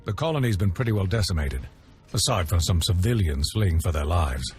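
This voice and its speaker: A hard-bitten mission contact in a game. He has a deep voice and a dry, approving manner, with music behind him. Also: big pitch swings, fairly quick. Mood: satisfied. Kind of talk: video game cutscene acting.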